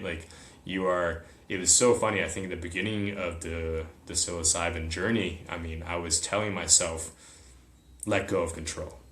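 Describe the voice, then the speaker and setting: Deep-voiced man musing, roomy echo. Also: fairly bright tone. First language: Chinese. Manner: searching, often checks in with "right?". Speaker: podcast guest. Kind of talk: reflective interview talk.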